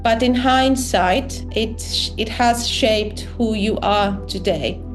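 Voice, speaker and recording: A woman's voice: a little high, slow, faint music behind. A woman hosting an interview podcast, speaking carefully with frequent hesitations and fillers. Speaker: podcast host.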